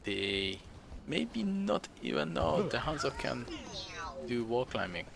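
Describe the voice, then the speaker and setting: A man's voice: medium pitch, huge pitch swings, takes it slowly, creaky, crowd noise. A young man with a Hungarian accent, English not his first language, giving rambling, chuckling, self-deprecating commentary over gameplay. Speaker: game streamer.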